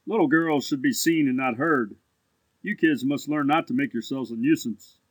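A man's voice: medium pitch, moderate pace, no room sound, natural voice, rough recording. A man playing a languid, condescending grown-up older brother in a storybook, with pompous courtesy. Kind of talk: storybook dialogue acting.

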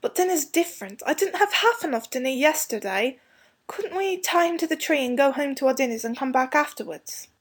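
A woman's voice: high-pitched, animated, fairly quick. A woman playing a hungry, impulsive young schoolboy in a storybook, blunt and eager in manner. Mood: grumbling. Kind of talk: storybook dialogue acting.

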